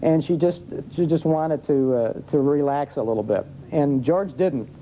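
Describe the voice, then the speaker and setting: American man, very dark mellow voice. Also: fairly high pitch, normal speed. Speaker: guest, old-time radio expert. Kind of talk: live TV interview. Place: television studio with audience. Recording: noisy vintage TV broadcast.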